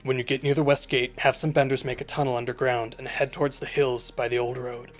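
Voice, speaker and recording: A man's voice: medium pitch, flat delivery, a little fast, lightly acted. Guy doing a resistance leader giving orders, serious, kinda urgent, faint music under it.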